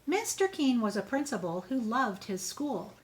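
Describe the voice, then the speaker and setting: Higher-pitched woman reading a storybook. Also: very animated, a little hiss or hum. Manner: clear, measured storytelling for young children. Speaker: teacher reading to pupils. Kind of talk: expressive children's storybook read-aloud.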